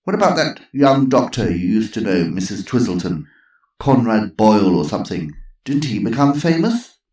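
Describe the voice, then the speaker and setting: A man doing a fussy, flustered old gentleman. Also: on the low side, sing-song, normal speed, pitched above the performer's own voice. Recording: roomy echo.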